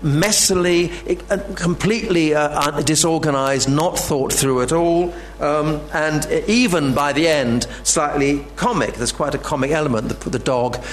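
A man giving an academic lecture, halting and full of fillers and restarts. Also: on the high side, slight background noise.

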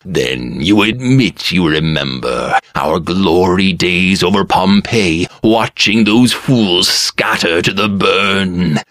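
A man voicing a cruel aristocratic gentleman who taunts with slow, cheerful menace. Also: deep voice, dry recording, studio quality. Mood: gloating.

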